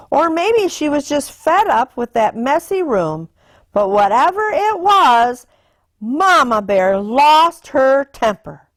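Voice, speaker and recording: A woman reading a children's picture book aloud in an animated, deliberate storytelling manner. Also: sits high, no echo. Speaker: storytime reader.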